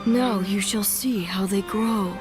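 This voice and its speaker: A woman's voice: medium pitch, loud music behind the voice, close to an everyday voice. A woman playing an ominous, scheming villainess in a video game, portentous and theatrical. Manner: portentous, drawn-out.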